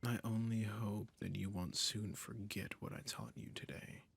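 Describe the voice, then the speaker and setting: A man voicing a domineering, possessive male emperor in an audio roleplay, speaking in a soft, condescending half-whisper. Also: on the low side, fairly even intonation, moderate pace, creaky, slightly rough. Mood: coolly possessive.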